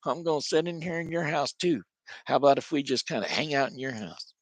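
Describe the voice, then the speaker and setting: Squeaky scared little pig, high and hurried, twang, a guy doing the voice. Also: dry recording, natural voice. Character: frightened little pigs, male.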